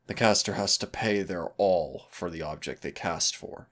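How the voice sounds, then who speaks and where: Demon hunter mentor for audio roleplay , male actor: very low, husky, teasing yet stern, measured dramatic delivery. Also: fairly bright tone. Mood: grave, wry. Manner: teasing, deliberate, dramatic pauses. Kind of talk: audio roleplay acting, intimate second-person dialogue.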